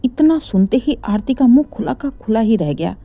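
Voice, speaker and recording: A woman's voice: a little high, very animated, moderate pace, warm tone, dry recording. A woman narrating a family moral story in a conversational, dramatic storytelling manner.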